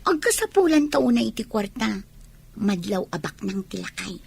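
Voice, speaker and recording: A woman's voice: mid-range voice, sing-song, normal speed, creaky, a little mellow, husky, heavily acted. An actress with an Ilocano Filipino accent playing a cunning, pert young con-woman in a radio drama. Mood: sly, unrepentant. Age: young adult.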